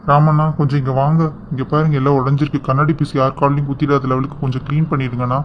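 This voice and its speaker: Fast-talking stern young husband played by a man. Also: mid-range voice, flat delivery, close to an everyday voice. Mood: bitter, disappointed. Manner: stern, reproving. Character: stern, disappointed young husband, protective of the household maid. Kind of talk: family melodrama acting. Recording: dull sound with faint background noise.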